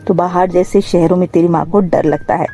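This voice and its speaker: A woman's voice: on the low side, steady tone, fairly quick, mellow, faint music behind, natural voice. A woman playing a poor, hardworking, reassuring mother in a cartoon moral story. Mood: reassuring, firm.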